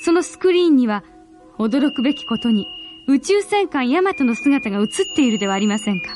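A woman's voice: high voice, lively intonation, brisk, music in the background. A woman narrating a 1970s space radio drama in an earnest, suspenseful, dramatic storytelling manner. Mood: solemn, stirring. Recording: very noisy old radio drama recording.

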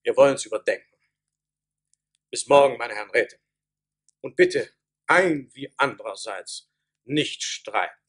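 Slow, dithering emperor. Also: male voice, mid-range voice, lively intonation, fairly bright tone, slightly rough. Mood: torn, solemn. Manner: ponderous, wavering, declamatory. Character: dithering, solemn emperor torn between his advisers. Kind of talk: radio play acting.

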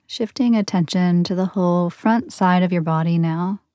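A woman leading a guided meditation in a soothing, gentle, unhurried manner with long pauses between phrases. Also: lowish voice, no room sound, clean studio sound. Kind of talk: guided yoga nidra meditation.

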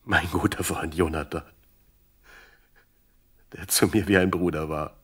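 An actor playing a grieving, pious warrior in a Bible radio play, speaking gravely and solemnly. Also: male voice, deep voice, fairly even intonation. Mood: mournful. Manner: slow, grave, declamatory.

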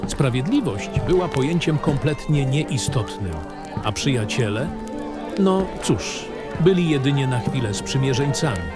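A man's voice: mid-range voice, lively intonation, a little slow, loud music behind the voice. A man giving a grave, dramatic first-person narration as a war-weary, cynical baron in a fantasy audio drama. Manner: grave, literary storytelling.

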